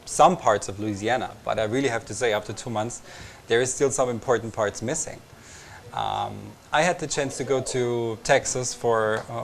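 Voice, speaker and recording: A young Austrian man, a native German speaker, talks in English at an even, mid pitch. He pauses often and searches for words with lots of fillers. There is a faint hum of room ambience behind him. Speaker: visiting reporter, guest. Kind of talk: casual interview. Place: tv station room.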